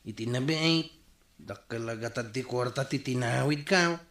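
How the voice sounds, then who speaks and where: A man's voice: mid-range voice, normal speed, fairly bright tone, echoey room, natural voice. A man playing a foolish, stubborn villager in an Ilocano radio comedy drama, plaintive and argumentative.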